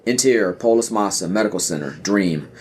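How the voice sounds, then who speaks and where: A man's voice: lowish voice, lively intonation, brisk, a little mellow, a little hiss or hum. A man reading stage directions as the narrator of a scripted audio play.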